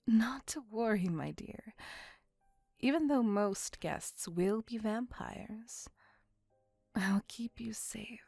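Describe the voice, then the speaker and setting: Breathy, slow-spoken elegant vampire woman, acted out by a woman. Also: on the high side, dry recording, faint music behind, heavily acted. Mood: refined, reassuring. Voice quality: breathy, soft, half-whispered. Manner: formal, archaic, measured phrasing with tag questions. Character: elegant, courtly, possessive centuries-old female vampire on a date. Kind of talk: ASMR roleplay, soft intimate monologue.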